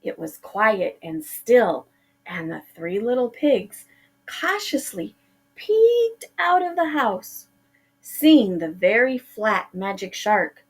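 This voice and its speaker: A woman's voice: mid-range voice, big pitch swings, slow pace, breathy edge. A middle-aged woman teacher reading a picture book aloud to her pupils, drawing out words for effect.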